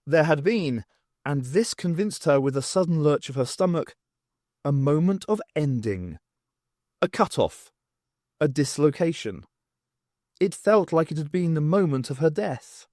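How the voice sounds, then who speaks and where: A man reading scripted story narration as an audiobook narrator, with expressive, dramatic phrasing. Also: a little high, a little slow, pauses often, dry recording, clean studio sound.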